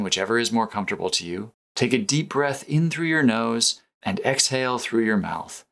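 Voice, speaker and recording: A man's voice: medium pitch, fairly bright tone. Soothing man guiding a meditation. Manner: slow, soothing, measured, earnest delivery. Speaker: meditation guide, programming teacher. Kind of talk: guided meditation narration.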